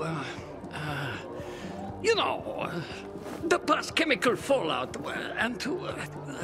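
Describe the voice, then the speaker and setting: A high-pitched, excitable old inventor. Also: male voice, exaggerated voice. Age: older. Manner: hesitant searching for words, theatrical outbursts. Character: excitable, absent-minded, proud old inventor and craftsman. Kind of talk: video game character acting.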